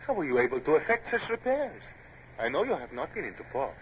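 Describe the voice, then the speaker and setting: An actor playing a smug, courtly young Spanish naval captain in a radio drama, formal and silkily polite. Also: male voice, fairly high pitch, very animated, fast talker. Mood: smug courtesy. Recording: dull, hissy vintage radio recording.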